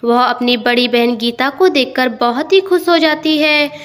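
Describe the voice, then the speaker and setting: A woman's voice: high voice, moderate pace. A woman narrating a scripted moral tale in storytelling style.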